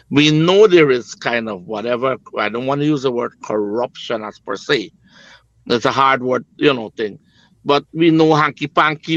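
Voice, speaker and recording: A man's voice: a little high, a bit bright, no echo, lo-fi sound. A middle-aged man with a Guyanese accent giving his political opinion as a panel guest, earnest and repetitive, with emphatic phrasing. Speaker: business executive, panel guest.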